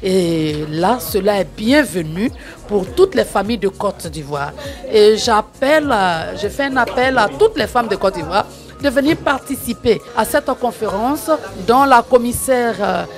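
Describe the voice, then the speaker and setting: A woman speaking earnestly into a handheld mic, making an appeal in a list-like way. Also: medium pitch. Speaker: women's association president. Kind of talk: interview answer.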